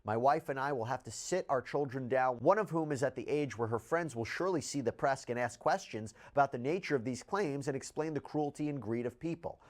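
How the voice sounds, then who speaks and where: A man presenting news and reading out statements with measured, deliberate emphasis. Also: mid-range voice. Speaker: news commentator.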